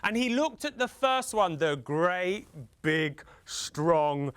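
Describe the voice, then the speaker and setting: A man from the UK telling a folktale theatrically for children, with dramatic pauses, repetition and drawn-out emphasis. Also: high-pitched, lively intonation, fairly bright tone, smooth. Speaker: storyteller.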